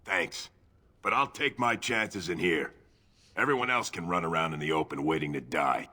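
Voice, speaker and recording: A man playing a gruff, self-interested veteran fighter in a video game, blunt and sardonic. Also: low-pitched, moderate pace, bright, forceful tone. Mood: blunt refusal.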